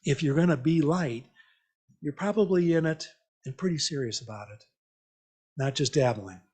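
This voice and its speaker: A man giving an informal, interactive church message in a conversational, reflective, halting way, often checking in with questions. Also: a little high, a little mellow, breathy edge. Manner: invites listeners with questions. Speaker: lay church speaker.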